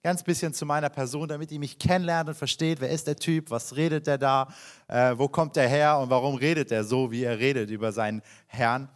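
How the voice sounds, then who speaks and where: A man in his thirties who grew up in Iran, speaking from the front of a church as a visiting pastor. He talks at a mid pitch, friendly and personal, often pausing on fillers and restarting his sentences. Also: steady tone. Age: 30s. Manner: warm, chatty and personal, with frequent fillers and restarts. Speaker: guest pastor. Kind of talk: sermon introduction to a congregation. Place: church hall.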